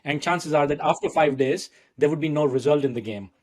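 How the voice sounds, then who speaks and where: A man's voice: fairly high pitch, fairly quick. A man from India, hosting a podcast and asking friendly, chatty questions. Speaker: podcast host. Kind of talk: casual podcast interview.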